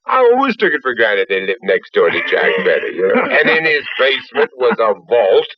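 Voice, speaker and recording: An older man, an actor, telling an informal anecdote in an animated, amused way. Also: mid-range voice, a little fast, a crowd in the background. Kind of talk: informal storytelling. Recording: dull, dry archive recording.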